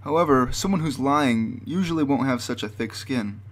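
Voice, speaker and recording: A man's voice: mid-range voice, animated, moderate pace, a little hiss or hum. A man narrating a scripted explanatory video.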